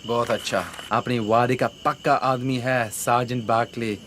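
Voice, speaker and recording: An actor playing a scheming rebel commander in a radio drama. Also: male voice, mid-range voice, level tone, very fast, noisy background, lightly acted. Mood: gloating.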